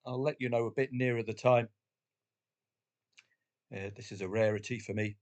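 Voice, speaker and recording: A middle-aged man with the flu and a deep, husky voice, chatting casually about his betting results as a channel host, with jokey asides and frequent filler sounds. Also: fairly even intonation, moderate pace, darkish tone. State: has the flu, chesty cough, voice deeper than usual.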